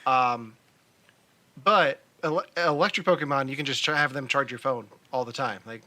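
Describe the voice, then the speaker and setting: A man's voice: medium pitch, normal speed, sharp tone. A man co-hosting a podcast in a casual, conversational style. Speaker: podcast co-host.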